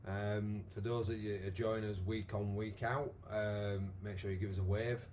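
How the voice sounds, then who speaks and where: A man with a croaky voice, leading a live online exercise class with many fillers. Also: lowish voice, flat delivery, moderate pace, darkish tone, a little hiss or hum. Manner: chatty, encouraging, lots of fillers. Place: home room with wall and bar. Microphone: device camera, moves away at times.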